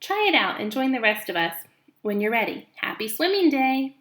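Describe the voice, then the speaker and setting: A woman's voice: a little high, sing-song, normal speed, fairly bright tone, roomy sound. A woman voicing a motherly old female goose in a storybook, cheerful, bossy and emphatic with her advice. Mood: encouraging.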